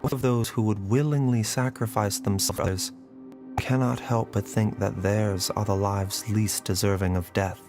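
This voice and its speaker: A man playing a composed, courteous physician in a game drama, speaking in a formal, grave, measured way. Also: fairly low pitch, steady tone, over music, lightly acted. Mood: solemn.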